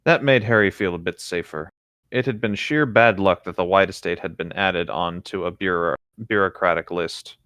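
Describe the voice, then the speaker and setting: A man's voice: low-pitched, normal speed, a bit bright, smooth, no room sound, clean studio sound. A man co-hosting a read-aloud of a story, narrating the prose and its dialogue with brief reactions. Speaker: co-host reading fan fiction aloud.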